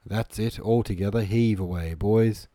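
A rough, bossy soldier, acted out by a man reading a storybook, barks hearty orders to his fellow haulers. The voice is mellow and dry. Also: lowish voice, measured pace, no echo, close to an everyday voice. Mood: hearty urging. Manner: barking orders, coarse and hearty. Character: rough, bossy male soldiers hauling a heavy load.

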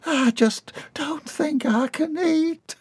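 A weepy, melodramatic young woman, as a male narrator voices her in a storybook reading. Her lines come high and slow, quivering with tears. The room is dry. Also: animated, slightly rough, no room sound, exaggerated voice. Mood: distraught. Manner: tearful, wailing.

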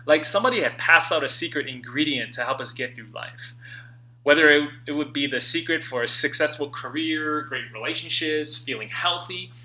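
A man in his late thirties reading his own motivational book aloud in an earnest, conversational way with dramatic pauses. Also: a little high, sharp tone, rough recording. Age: late 30s. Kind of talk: personal audiobook narration, motivational.

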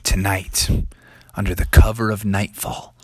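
A man's voice: sits high, huge pitch swings, unhurried, fairly bright tone, no room sound, voice pushed up, full character voice. A man voicing a brave, cheerful talking cowboy frog who boasts he will save the town. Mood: whispering.

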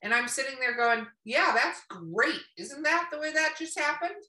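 A woman's voice: sits high, animated, moderate pace, pushed and bright. A woman in a casual podcast chat, talking bluntly and emphatically and swearing freely. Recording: roomy echo, distant from mic.

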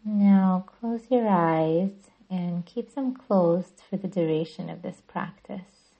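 Female meditation guide, breathy with a creaky edge, even and soothing, slightly muffled recording. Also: on the low side. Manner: soothing, gentle, deliberate. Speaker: yoga nidra guide and podcast host.

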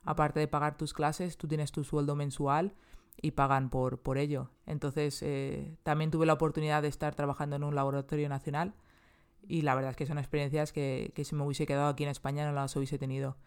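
A woman's voice: deep voice, little pitch movement, brisk, clean tone. A woman in her thirties with a Spanish accent, chatting casually as an interview guest and restarting her sentences often. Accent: Spain Spanish. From Spain. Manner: chatty, many restarts and fillers.

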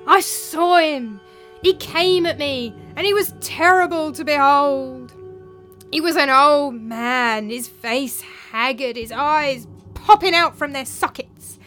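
A woman acts out a scheming manservant in a storybook tale, urgent and melodramatic. The voice is high and bright, stretching words out for effect as he pleads and exaggerates. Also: slow, soft background music. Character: desperate scheming male servant spinning a ghost tale. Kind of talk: dramatised storytelling.

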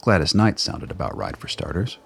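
Low, husky male radio play narrator. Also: sing-song, brisk, fairly bright tone.

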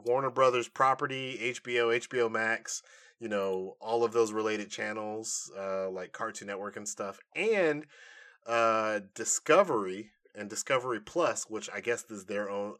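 A man hosting a podcast, explaining things in a casual, animated and informal way. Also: medium pitch. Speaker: podcast host. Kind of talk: casual nerd-news podcast chat.

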